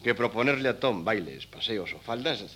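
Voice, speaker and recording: An actor playing a worldly, easygoing young son in a Spanish radio comedy, speaking with a Castilian accent and a wry manner. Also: male voice, lowish voice, expressive, moderate pace, a bit bright. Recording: faintly noisy old radio cassette.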